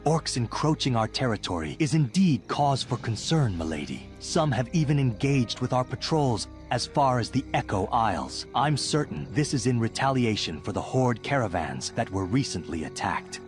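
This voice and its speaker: A man's voice: mid-range voice, animated, moderate pace, hardly any pauses, soft background music, natural voice. A man playing a scheming, bitter human chamberlain in a fantasy game, formal and self-righteous. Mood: formal suspicion. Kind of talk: fantasy game cutscene monologue acting.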